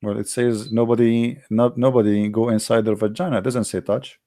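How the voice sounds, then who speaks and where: A man's voice: medium pitch, little pitch movement, a little fast, dark tone, no echo, studio quality. A man whose first language is Arabic, hosting an online debate with a caller. He talks insistently, repeats his words and fires off rhetorical questions. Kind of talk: argumentative call-in debate.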